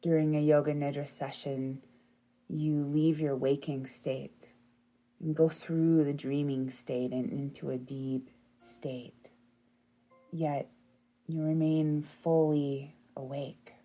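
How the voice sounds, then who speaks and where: A woman leading a guided relaxation meditation in a soothing, gentle, unhurried manner. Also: sits low, level tone, soft background music. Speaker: yoga nidra meditation guide.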